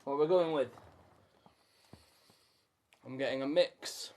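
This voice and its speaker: A man with a middling-pitched voice hosts a live storytime. He moves between reading narration aloud and chatting casually with those following along. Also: slow pace, darkish tone. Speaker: storytime host and reader.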